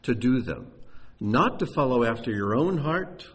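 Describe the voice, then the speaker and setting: A man delivers a teaching sermon with a mid-pitched voice that moves freely through a wide, lively range. He presses hard on key phrases, then sinks almost to a hush. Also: measured pace. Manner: animated, emphatic teaching with sudden drops to near-hushed voice.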